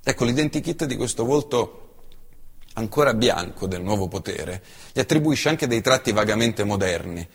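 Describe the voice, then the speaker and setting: Husky man declaiming in a reverberant theater. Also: fairly low pitch, animated, normal speed. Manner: impassioned, declamatory, swinging from hushed to forceful. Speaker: stage actor. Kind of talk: theatrical monologue. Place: theater stage.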